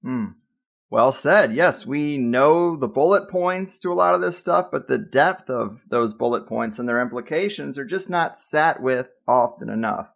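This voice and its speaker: A man's voice: medium pitch, expressive, a little slow, gravelly, fairly bright tone. A man hosting a podcast, reading an emphatic scripted intro and then chatting casually with his guest. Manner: emphatic, hype-style introductions. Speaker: podcast host.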